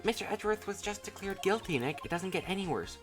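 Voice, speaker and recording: Streamer as young woman game sidekick, high-pitched, anxious, pleading, animated. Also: fast, music in the background, lightly acted. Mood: urgent.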